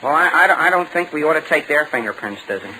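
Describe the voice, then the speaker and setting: A man's voice: a little high, lively intonation, fast talker, sharp tone. An actor playing a hesitant, gullible teenage boy in a 1940s radio comedy, stammering and querulous. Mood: doubtful. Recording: noisy vintage 1940s radio recording.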